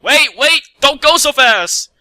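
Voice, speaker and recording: Man as an awkward, polite young mechanic, bright voice. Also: very high-pitched, expressive, slow, no echo, pitched above the performer's own voice, exaggerated voice. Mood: excited. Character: upbeat, slightly awkward male stranded mechanic.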